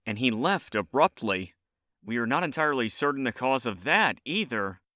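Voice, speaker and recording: A stern, exasperated eldest brother among elves, acted by a man. He speaks formally in a bright, mid-pitched voice with wide swings of intonation, in a dryly recorded fantasy audio drama. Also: very animated, moderate pace, dry recording, studio quality. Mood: concerned.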